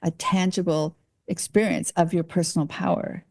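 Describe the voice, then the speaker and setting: Mellow-voiced woman podcast host. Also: on the low side, steady tone, moderate pace. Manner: searches for words mid-thought. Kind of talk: casual conversational interview.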